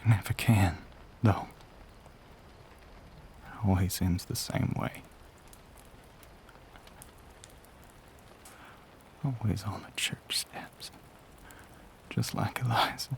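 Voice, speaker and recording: A brooding, lonely vampire gentleman of the American South, played by a man for an intimate audio roleplay. He speaks slowly and haltingly in a Southern accent. His breathy voice often sinks into a whisper as he wrestles with his hunger. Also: on the low side, fairly even intonation, pitched below the performer's own voice. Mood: haunted, sorrowful. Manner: halting, hesitant, drawn-out phrases.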